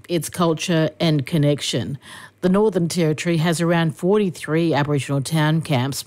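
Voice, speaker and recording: Brisk woman reading news. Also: sits low, a little mellow. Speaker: radio news presenter. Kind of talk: scripted news read.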